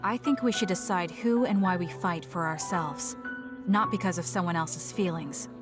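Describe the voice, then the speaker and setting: A woman's voice: lowish voice, normal speed, over music, close to an everyday voice. An actress playing a cool, blunt, determined young female soldier in a video game, reserved and matter-of-fact. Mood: cool, firm.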